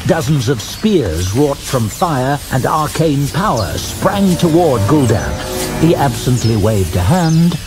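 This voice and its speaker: A man giving dramatic scripted narration of a fantasy tale in a measured, grave storytelling manner. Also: medium pitch, very animated. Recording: music behind the voice.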